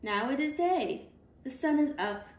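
A woman reading a children's picture book aloud, deliberately, in clearly spaced phrases for young children, recorded indoors at home. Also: high voice, animated. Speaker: storybook reader. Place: indoors at home, on a leather couch. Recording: roomy home recording with background noise.

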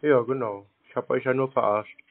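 A man's voice: mid-range voice, steady tone, fairly quick, gravelly, no room sound. A man in a casual phone conversation, baiting scam callers in a laconic, teasing manner. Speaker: prank caller baiting scam call centers. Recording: band-limited phone-call audio.

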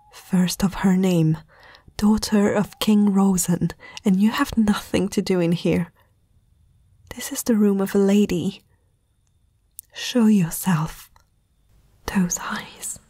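A woman playing a proud, frightened young princess in a whispered fantasy ASMR roleplay, speaking formally and haltingly in a breathy voice. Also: mid-range voice, dark tone, no room sound, studio quality. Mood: haughty, commanding. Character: proud, frightened eighteen-year-old princess confronting a night intruder. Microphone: close microphone, dry.

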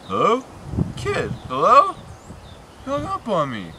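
A young man in his twenties plays a slangy, teasing caller in a comedy sketch. His pitch jumps all over the place, and he is recorded outdoors with clear background noise and birds. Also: wildly swooping pitch, measured pace. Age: 20s. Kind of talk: scripted casual phone chat. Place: outdoors on steps by a sports field. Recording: outdoor camera audio, birds, ambient noise.

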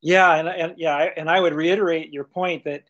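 A man's voice: a little high, steady tone, moderate pace, a bit bright. Man moderating a video call panel. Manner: fluent, agreeing and summarizing. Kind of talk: panel discussion. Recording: muffled video call audio.

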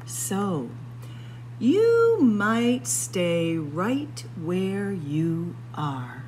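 A woman hosting a story time, reading a picture book to young children in a sing-song way with drawn-out phrases and dramatic pauses. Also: mid-range voice, very animated, darkish tone, slight background noise. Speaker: library story time host. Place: indoors among library bookshelves.

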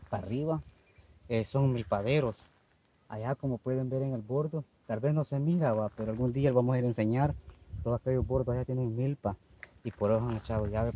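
A man's voice: mid-range voice, fairly quick. An older man with a Salvadoran Spanish accent, chatting in a folksy way outdoors in dry countryside while he walks, points out plants and climbs a tree. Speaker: vlog personality. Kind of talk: casual outdoor chat. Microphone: camera held by companion. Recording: loud outdoor noise.

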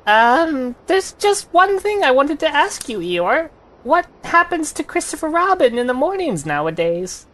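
Woman voicing a high-pitched, timid little piglet. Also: big pitch swings, measured pace, fairly bright tone, exaggerated voice. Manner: hesitant, stammering, nervous, polite. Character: timid, small young male piglet. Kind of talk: audio drama acting.

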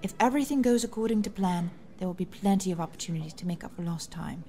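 A woman playing a composed, courteous rebel military leader in a science-fiction audio drama, formal and measured. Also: fairly low pitch, dark tone, faint music behind, natural voice.

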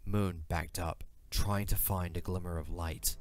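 Deep-voiced male narrator. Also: fairly even intonation, measured pace, no room sound, clean studio sound. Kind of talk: scripted dramatic fantasy narration. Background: music.

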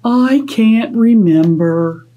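A woman voicing a forlorn, slow-witted storybook polar bear in a glum, drawn-out manner. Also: on the high side, dull audio. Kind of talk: expressive storybook read-aloud.

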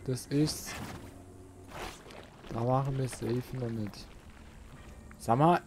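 Guy doing a casual let's play, slow talker, grumbles and swears a bit at the game, noisy background. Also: mid-range voice, steady tone, darkish tone. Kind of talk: casual video game commentary.

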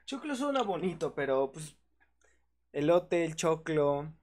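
A man's voice: fairly high pitch, very animated, slow, a little mellow, a little hiss or hum. A young man with a Mexican accent co-hosting a casual livestream chat, joking and slangy. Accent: Mexican Spanish. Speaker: podcast co-host.

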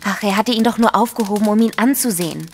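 A woman's voice: mid-range voice, fast talker, long reverb tail, slight background noise, close to an everyday voice. An actress playing a sorrowful, strong-willed young woman, earnest and insistent, in an audio drama. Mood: pleading. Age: young adult.

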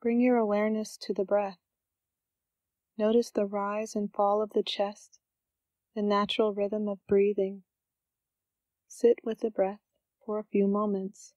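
Woman guiding meditation in an even tone. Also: mid-range voice, no echo. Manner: gentle, calm, unhurried instruction with pauses. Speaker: meditation guide. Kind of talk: scripted guided meditation.

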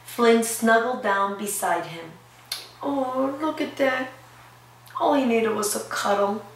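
A woman teaches preschoolers through read-aloud storytelling, speaking slowly and expressively and often putting questions to the children. Her voice is a touch high. It is recorded at some distance in a very echoey room. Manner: simple, expressive child-directed talk with questions to listeners. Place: indoor classroom-like room. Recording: roomy echo, distant mic, faint noise.

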